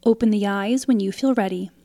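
A woman leading a guided meditation in a calm, soothing, instructional way, leaving pauses between short phrases. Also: mid-range voice, gravelly, dry recording. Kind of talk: guided meditation, scripted narration.

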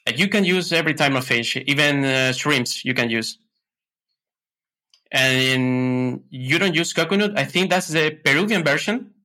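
A man with an Ecuadorian Spanish accent talks casually as a podcast guest. His voice is bright and forceful at a middle pitch. He speaks in a somewhat halting, hesitant way. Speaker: podcast guest, tech consultant. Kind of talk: casual interview chat.

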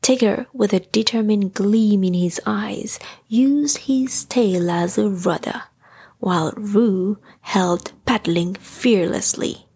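Slow, husky woman telling a bedtime story. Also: mid-range voice, warm tone. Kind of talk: scripted bedtime story narration.

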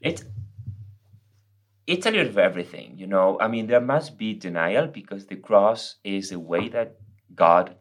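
Argentine priest guy w spanish accent, lowish voice, talks faith on a podcast. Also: a bit bright. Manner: earnest, explaining with examples and quotes.

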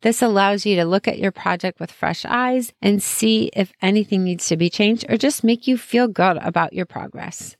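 A woman's voice: mid-range voice, normal speed, few pauses, no echo, studio quality. A woman hosting a casual solo podcast, chatty and encouraging, her voice strained as she says she is losing it. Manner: chatty and encouraging, friendly asides. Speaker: podcast host.

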